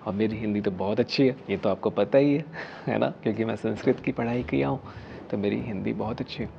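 A man's voice: lowish voice, fairly quick, vocal fry, muffled recording, slight background noise. A man in his thirties, a healer, explaining a method to camera in an earnest, instructive way, sometimes lifting his voice for emphasis and sometimes dropping to a whisper. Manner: earnest, explanatory, rising emphasis on key phrases, drops to whispers. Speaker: spiritual healer and video host. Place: studio set with dark curtain and statue.

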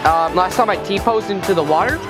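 Teen guy vlogger, playful banter, slightly high voice, beach camera audio with loud music. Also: fairly quick. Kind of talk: casual chat with a friend. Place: sandy beach outdoors.

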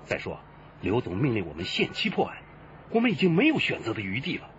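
A resolute, methodical detective in a radio crime drama. His mid-pitched voice has lively intonation. The recording is slightly muffled, with faint noise. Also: fast talker. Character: resolute, orderly male police detective. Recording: muffled band-limited audio, faint background noise.

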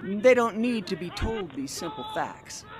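Actor as a high-voiced, cocky American coach. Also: male voice, very animated, measured pace, noisy background. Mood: mocking, irritated. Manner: blustery, sarcastic. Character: cocky, wisecracking 1920s college football coach. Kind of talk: audio drama acting.

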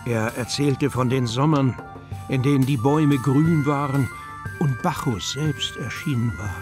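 A man's voice: mid-range voice, measured pace, over music. A man narrating a scripted storybook audio drama as its storyteller.

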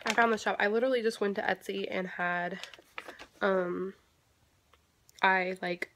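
A woman's voice: mid-range voice, steady tone, a little slow, background noise. Rambling young woman vlogging. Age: young adult. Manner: rambling, many fillers and self-corrections. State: congested, stuffy. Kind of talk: casual daily vlog chat. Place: parked car, indoors at home earlier. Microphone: phone camera at arm's length.

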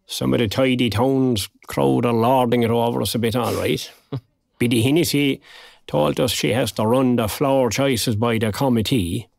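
Man acting older irish retired fella, creaky voice, wry and chatty, audio drama, dry sound. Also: mid-range voice, fairly even intonation, normal speed, a little mellow, no echo, lightly acted. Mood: amused gossip. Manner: colloquial, wry. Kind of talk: naturalistic audio drama dialogue.

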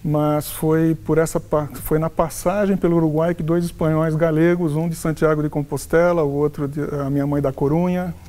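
A man's voice: fairly high pitch, level tone, mellow. A man in his sixties with a Paulistano accent, telling his life story in an interview, often restarting and correcting himself. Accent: Paulistano Brazilian Portuguese. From São Paulo, Brazil. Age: 60s. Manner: halting, frequent restarts and self-corrections. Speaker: interviewed professor. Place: furnished office with wooden cabinets, indoors. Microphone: lapel.

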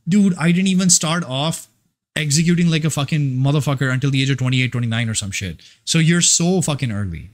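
A man's voice: a little high, animated, fairly quick. A man who works as a fitness and life coach, giving a casual motivational monologue in a rambling, profane and emphatic way.

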